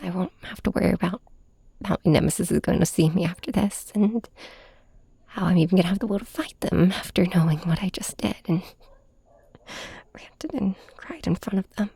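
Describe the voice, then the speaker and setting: A woman playing a heartbroken young superhero in an intimate ASMR audio drama, confiding in a villain with halting, raw emotion in a breathy, whispery voice. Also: lowish voice, dark tone, no echo, heavily acted. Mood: quiet, dazed. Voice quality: breathy, often dropping to a whisper. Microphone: close microphone, dry.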